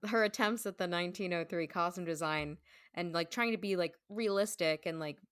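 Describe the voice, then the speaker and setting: A woman with a slightly low voice chats casually as a guest. Her phrasing is informal and halting, often turning into asides. The sound is close and very dry, with no room echo. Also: fairly bright tone, smooth. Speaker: guest, stage performer. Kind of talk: casual conversation.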